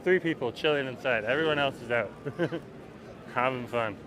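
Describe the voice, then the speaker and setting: A man's voice: medium pitch, normal speed. A man giving a casual, rambling walking-tour commentary on a livestream, outdoors at a busy waterfront festival. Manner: rambling, chatty, reads viewer comments aloud. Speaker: livestream vlogger. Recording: loud crowd noise outdoors. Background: festival crowd chatter.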